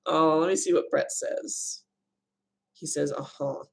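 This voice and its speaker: A young woman with a notably deep voice and a gravelly edge of vocal fry. She chats loosely and rambles while answering viewer questions on a live stream from her room at home. She laughs often. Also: steady tone, measured pace. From Arizona, USA. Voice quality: low voice. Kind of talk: casual live Q&A chat.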